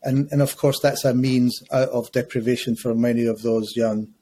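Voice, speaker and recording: A man in his fifties, a longtime educator, speaking as a podcast guest in a measured, reflective way with frequent hesitations. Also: mid-range voice, steady tone, warm tone, husky. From Scotland. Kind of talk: conversational podcast interview.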